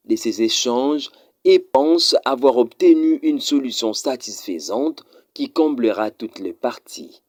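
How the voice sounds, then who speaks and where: A man reading a scripted news report in a formal, measured voice-over. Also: mid-range voice, darkish tone, no echo, clean studio sound. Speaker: news narrator.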